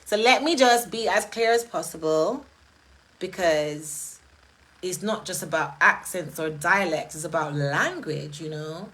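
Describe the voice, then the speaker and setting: Woman, British accent, slightly low pitch, live-stream host, casual chat, emphatic, rhetorical questions. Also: measured pace, fairly bright tone. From United Kingdom.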